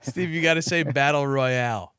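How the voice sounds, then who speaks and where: A man bantering casually as a co-host, excitable and jokey. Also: on the high side, moderate pace, smooth, no echo, clean studio sound. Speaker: interviewer, podcast co-host.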